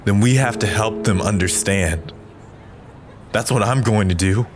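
A male actor plays an earnest, calm peacemaker in an audio drama. His voice sits deep, and he speaks with measured sympathy and sincerity over clearly audible music. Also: natural voice. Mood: earnest, resolute. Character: earnest, calm young man urging unity and healing.

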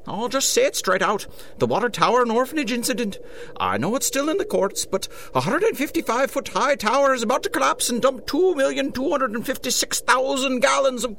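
A parks and recreation official in an audio comedy. The delivery swoops wildly in pitch, earnest and folksy, circling around the point. Faint noise sits behind the voice. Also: male voice, gravelly, fairly bright tone, heavily acted. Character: earnest, folksy Irish parks director, male civic official. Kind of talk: mockumentary interview in a comedic audio drama.

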